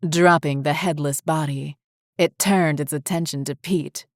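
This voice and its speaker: A woman's voice: low-pitched, fairly even intonation, measured pace, no echo, clean studio sound. A woman reading a scripted horror story as its narrator, with music behind her. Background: faint music.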